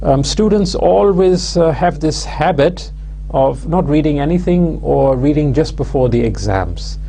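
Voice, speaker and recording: A man, giving a recorded university lecture in a measured, hesitant way full of "uh" and "um" fillers. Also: fairly high pitch, animated, vocal fry, dark tone, slight background noise. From London, England. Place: studio with plain backdrop.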